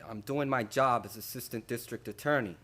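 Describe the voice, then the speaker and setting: An actor playing a harried, indignant prosecutor in a radio play, speaking emphatically. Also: male voice, medium pitch, fairly quick, bright, forceful tone, husky. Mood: exasperated. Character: harried, exasperated male prosecutor. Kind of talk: stage-like radio play acting.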